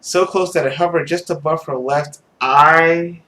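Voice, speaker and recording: A man's voice: fairly high pitch, fairly even intonation, unhurried, sharp tone. A man hosting a gaming video, switching between casual commentary and dramatic narration of a visual novel.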